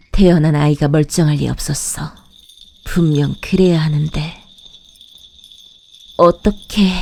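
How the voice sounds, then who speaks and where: A woman playing a brooding witch, narrating a dark fairy tale in a measured, ominous, matter-of-fact manner. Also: fairly low pitch. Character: brooding witch who raises a child in hiding. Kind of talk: scripted first-person horror fairy-tale narration.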